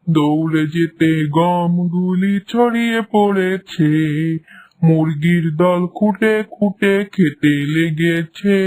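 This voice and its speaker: A man's voice: high voice, a little mellow. A man voicing a sly, scheming fox who coaxes his prey in a children's cartoon. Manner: coaxing, scheming.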